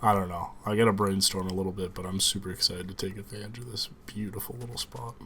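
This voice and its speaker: A relaxed young man with a fairly low voice talks his way through a game session, thinking out loud and calling his viewers guys. His voice is slightly stuffy from a cold. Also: quick pace, a little hiss or hum. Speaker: gaming video host. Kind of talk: casual game commentary.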